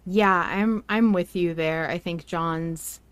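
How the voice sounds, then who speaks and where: Casual podcast host, woman, reflective and laughing easily, lowish tone, dry close sound. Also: steady tone, a bit bright, clean tone, dry recording. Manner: chatty, reflective, quick to laugh. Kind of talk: casual fan podcast chat.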